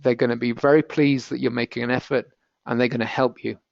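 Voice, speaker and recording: A man's voice: medium pitch, little pitch movement, fast talker, creaky, dry recording, lo-fi sound. A British man with a British accent, presenting an encouraging, patiently explained language lesson in a webinar. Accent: British English. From Britain.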